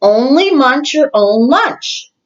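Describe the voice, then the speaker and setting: A woman voicing an eager, excitable young boy shark in a storybook reading, bouncy and exclaiming. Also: high-pitched, slow pace, dull audio, pitched above the performer's own voice, full character voice. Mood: excited.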